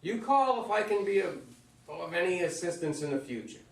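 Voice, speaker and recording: An actor in a staged play reading, playing an avuncular, plainspoken retired baseball legend who gives folksy advice. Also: male voice, high voice, animated, fairly quick, creaky. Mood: encouraging. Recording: roomy stage, far from microphone.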